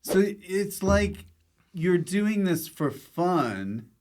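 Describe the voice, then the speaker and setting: A man's voice: sits high, very animated, extremely slow, darkish tone. A man hosting a podcast, chatting casually and jokingly as he interviews a guest, often chipping in with quips. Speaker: podcast host. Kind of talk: casual comic interview chat.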